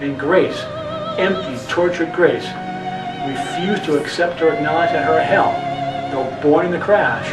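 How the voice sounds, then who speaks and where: A man delivers a brooding, theatrical literary monologue as a storyteller, with deliberate, repetitive phrasing. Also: a little high, wildly swooping pitch. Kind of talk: dramatic literary monologue narration. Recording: echoey, distant mic, music underneath. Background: music.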